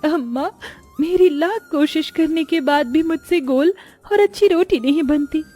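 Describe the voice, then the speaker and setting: A woman's voice: sits high, measured pace, dark tone, soft background music, pitched above the performer's own voice. A woman voicing a meek, distressed young daughter-in-law, polite and earnest, in a Hindi cartoon moral story. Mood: plaintive.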